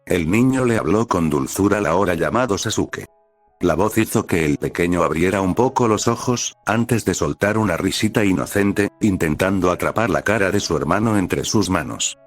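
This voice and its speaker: A man's voice: low-pitched, animated, moderate pace. A man reading out scripted fan fiction as an audiobook-style narrator. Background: background music.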